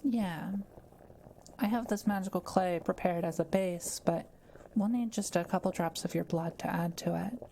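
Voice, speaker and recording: Mellow, low-pitched kind witch, acted by a woman. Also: moderate pace, creaky, slight background noise. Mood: focused, explanatory. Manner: gentle, patient, explanatory. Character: kind, quiet-living forest witch brewing potions. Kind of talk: soft-spoken audio roleplay addressed to the listener.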